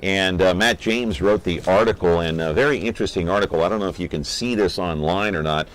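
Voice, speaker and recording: A man's voice: sits low, brisk. A man hosting a program, interviewing a guest in a conversational, inquisitive and enthusiastic way.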